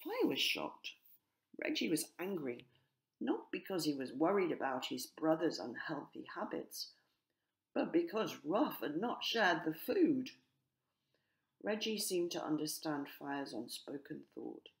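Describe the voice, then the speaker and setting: A woman narrating a children's story as its author, measured and expressive. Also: low-pitched, creaky.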